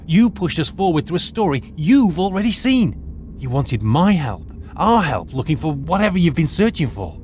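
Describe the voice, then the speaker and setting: An actor playing a retired archaeologist turned high school teacher, measured and lecturing in an audio drama. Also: male voice, big pitch swings, a little mellow, slight background noise. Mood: accusing.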